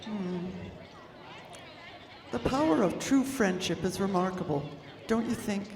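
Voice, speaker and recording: A woman acts out a genteel, sharp and affectionate minister's wife from the 1900s. It is classic radio drama phrasing, heard in an echoey hall with faint audience sounds. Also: medium pitch, lively intonation, a little slow, a faint crowd. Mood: wistful. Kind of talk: old-time radio drama acting.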